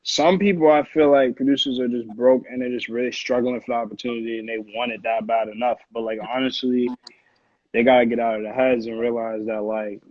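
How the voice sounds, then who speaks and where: A man's voice: mid-range voice, fairly even intonation, fairly quick, dry recording. An American man from Connecticut, a music producer chatting casually as an interview guest in informal slang peppered with "like". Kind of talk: casual chat. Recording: dull, lo-fi audio.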